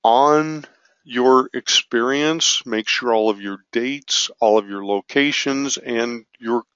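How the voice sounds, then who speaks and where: A man with a raspy voice from allergies, teaching an online business course in a loose, self-correcting way with frequent fillers. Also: medium pitch, takes it slowly, fairly bright tone, no echo, dull audio. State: raspy from allergies, occasional cough. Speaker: business marketing instructor. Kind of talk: screen-share course walkthrough.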